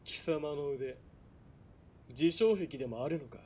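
A man's voice: a little high, measured pace, slight background noise, natural voice. Man acting a sneering sadistic military police villain. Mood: mocking, sadistic. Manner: sneering, mocking, swinging from quiet threats to barking. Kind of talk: Japanese radio drama acting.

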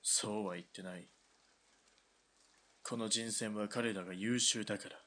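A man plays a commanding army officer in a radio drama, his voice lowish with a bright, forceful edge. It is husky, and his orders come out curt and final. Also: steady tone, unhurried. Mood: firm. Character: stern Japanese army lieutenant colonel. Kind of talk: hobby radio drama acting.

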